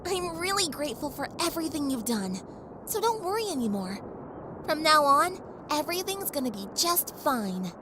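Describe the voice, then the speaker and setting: Young unicorn mare, played by a woman, grateful and earnest, animated high voice, for a fan audio drama. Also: normal speed, noisy background. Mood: grateful, reassuring. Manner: friendly, earnest, reassuring. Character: young female unicorn pony, trusting and grateful.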